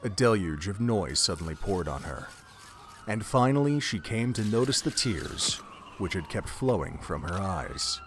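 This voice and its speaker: A man's voice: on the low side, very animated, unhurried, fairly bright tone, slight background noise. A man reading dramatic scripted narration for an audio drama, in the manner of an audiobook.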